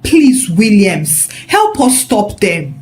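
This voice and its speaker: A woman's voice: mid-range voice, big pitch swings, takes it slowly, a little mellow, soft background music. A woman voicing a brave but frightened young female student with an earnest, pleading manner.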